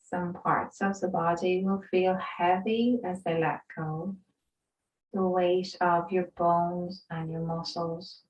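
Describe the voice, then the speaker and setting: Female meditation guide, lowish voice, very slow and soothing, recorded at a distance in a roomy space. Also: steady tone, muffled recording. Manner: soothing, gentle, unhurried with pauses. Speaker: yoga instructor. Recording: roomy echo, distant microphone.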